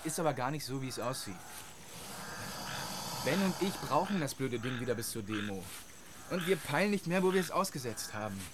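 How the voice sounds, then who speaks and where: A man's voice: mid-range voice, lively intonation, brisk, pauses often, noisy background, lightly acted. An actor playing a young man lost in the marshes who talks on the phone in agitated slang, in a radio drama.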